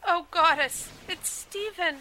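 A woman's voice: very high voice, takes it slowly, pushed and bright, slight background noise, full character voice, rough recording. A woman playing a headstrong young noblewoman in an audio drama, with an earnest, emphatic delivery. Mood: urgent, worried. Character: headstrong young noblewoman, anxious for her twin brother.

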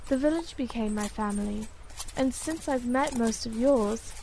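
An actress playing a humble, gentle young village woman in an audio drama. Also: a little high, normal speed, vocal fry, slight background noise, poor audio. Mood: reassuring.

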